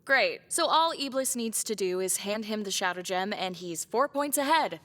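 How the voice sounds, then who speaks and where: A woman's voice: medium pitch, expressive, moderate pace, fairly bright tone, lightly acted. Blunt, grim adventuring woman voiced by an actress. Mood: bitter. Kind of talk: scripted fantasy audio drama acting.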